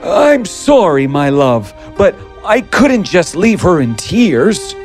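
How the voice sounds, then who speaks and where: A man's voice: sits high, big pitch swings, slow, faint music behind, pitched above the performer's own voice, heavily acted. A man voicing a kind, timid, troubled schoolteacher in a storybook tale, hesitant and earnest. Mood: apologetic. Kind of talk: animated storybook character acting.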